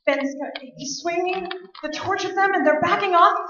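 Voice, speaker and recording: A woman acting out a terrified wife keeping watch in a horror radio play. Her voice is extremely high and bright, halting and anguished. It carries from a distance in a very reverberant room. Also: lo-fi sound. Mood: anxious watching. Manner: breathless, halting, anguished exclamations. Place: live stage performance. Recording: distant echoey hall, faint noise.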